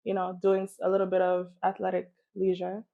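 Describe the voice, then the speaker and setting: A woman's voice: on the low side, completely flat delivery, fairly quick, gravelly, phone-quality sound. A woman from Miami and Jamaica, chatting casually and informally as a guest in an interview. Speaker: guest, singer.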